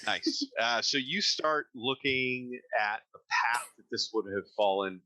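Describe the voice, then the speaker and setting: A man's voice: mid-range voice, expressive, a little slow, pushed and bright, no room sound. A man acting as game master, narrating a tabletop fantasy game in a casual, improvised way.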